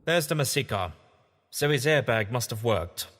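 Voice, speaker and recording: A man playing an eccentric, kindly time-travelling scientist in a science fiction audio drama, excitable, theatrical and a little fussy. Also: medium pitch, measured pace, background noise, natural voice. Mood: wary. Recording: long hall-like reverb.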